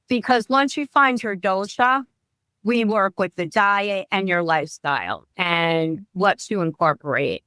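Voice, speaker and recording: A woman's voice: mid-range voice, a bit bright, clean tone, dry recording. A woman in her fifties, a wellness coach talking as a guest in a podcast interview, chatty and often restarting her sentences. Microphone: remote call.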